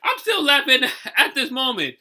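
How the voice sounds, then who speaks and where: A man giving casual, off-the-cuff reaction commentary as host, thinking aloud with halting, repeated phrases. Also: very high voice, wildly swooping pitch, bright, forceful tone.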